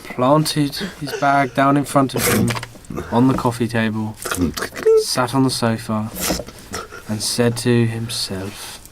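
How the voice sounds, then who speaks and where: A man narrating a comic radio play as a podcast host, with dramatic, deliberate storyteller phrasing. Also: medium pitch, steady tone. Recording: amateur podcast, clearly noisy background.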